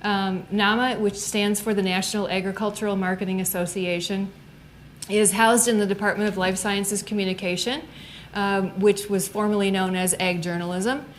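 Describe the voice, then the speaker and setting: A woman's voice: mid-range voice, fairly even intonation, moderate pace, slight background noise. Upbeat woman speaking in a lecture room. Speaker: student club advisor. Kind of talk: seminar talk.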